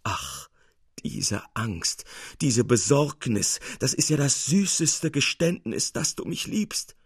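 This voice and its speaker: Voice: medium pitch, sing-song, slow, slightly rough, no room sound, studio quality, full character voice. A man voicing a lovesick old uncle who declares his love in a rapturous, theatrical way. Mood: anxious, swooning delight. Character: lovesick old uncle, gushing, melodramatic suitor.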